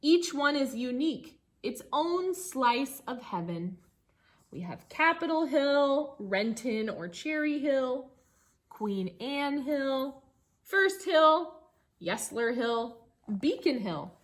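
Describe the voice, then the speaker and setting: Female teacher for children's picture-book read-aloud. Slightly high voice, lively singsong intonation, engaging asides to young listeners. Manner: singsong storybook phrasing, pausing to engage listeners.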